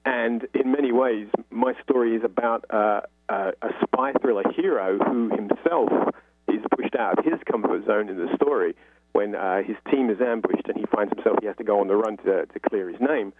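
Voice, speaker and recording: A man's voice: on the low side, normal speed, husky, no room sound. A man speaking as an interview guest, explaining at length in a talkative, conversational way. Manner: talkative, explanatory, frequent "you know". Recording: thin phone-like line.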